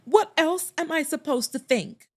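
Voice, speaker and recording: A hurt, bitter woman in a romance audiobook, played by a woman narrator. She is accusing and emotional, with sharp words in a soft dark tone, recorded close and dry. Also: high voice, expressive, measured pace, no echo, voice pushed up. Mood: wounded, demanding. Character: hurt, resentful woman confronting her former lover.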